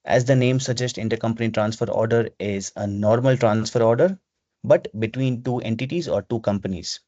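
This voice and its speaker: Male software trainer, mid-pitched, instructional, explaining procedures over lo-fi call audio. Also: brisk, no echo. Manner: step-by-step, instructional. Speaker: webinar presenter. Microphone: video call microphone. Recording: dull, lo-fi video call audio.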